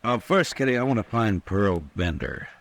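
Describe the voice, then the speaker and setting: An American actor playing a steady, firm frontier marshal in a radio drama, questioning in a measured, authoritative way. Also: male voice, fairly low pitch, creaky. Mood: thoughtful. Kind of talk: 1950s radio drama acting.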